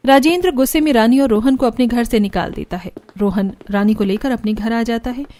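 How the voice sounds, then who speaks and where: A woman's voice: a little high, fairly quick, dark tone, a little hiss or hum. A woman working as a narrator, reading a scripted story.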